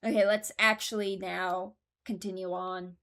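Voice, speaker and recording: A woman's voice: medium pitch, level tone, measured pace, bright, forceful tone. A woman giving casual commentary, thinking aloud in a hesitant, deliberating way and often correcting herself. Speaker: host ranking game voice lines.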